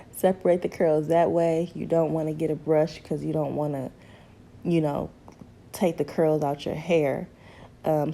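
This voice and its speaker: A young woman in her twenties, hoarse from a cold, chatting casually through a hair tutorial and calling her viewers ladies and honey. She is recorded in a small bedroom on the camera's own mic. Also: low-pitched, dark tone. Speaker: beauty vlogger. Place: small bedroom at home, indoors. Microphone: camera mic, seated close in front.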